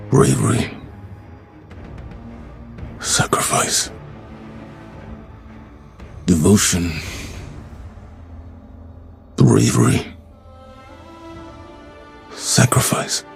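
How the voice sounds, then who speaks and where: Grim, gravelly solemn commander. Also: male voice, deep voice, lively intonation, extremely slow, long pauses, full character voice. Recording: music behind.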